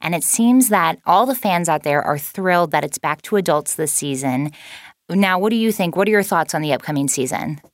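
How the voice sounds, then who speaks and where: A woman's voice: fairly low pitch, expressive, a little fast, no room sound, clean studio sound. A woman interviewing a guest, asking questions with enthusiasm. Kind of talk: casual interview.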